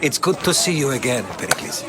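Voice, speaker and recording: An actor playing a learned, cautious Greek historian in a video game, speaking in a measured, articulate way. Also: male voice, medium pitch, very animated, background noise, natural voice. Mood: assured. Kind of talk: dramatic video game acting.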